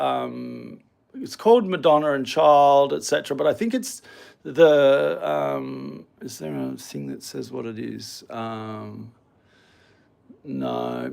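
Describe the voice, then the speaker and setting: A man's voice: medium pitch, creaky, darkish tone. A man chatting casually and a bit hesitantly while showing viewers around his home. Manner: rambling, hesitant, self-deprecating. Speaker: vlogger. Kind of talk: casual home tour chat. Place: home hallway and studio room.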